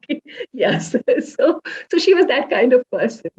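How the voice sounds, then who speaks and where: A woman's voice: high-pitched, very animated, unhurried, darkish tone, highs cut off. Indian woman in her fifties, distant mic. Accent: South Indian English. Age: 50s. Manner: reflective, many "uh" fillers and restarts. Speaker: senior tech executive. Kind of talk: interview recollection.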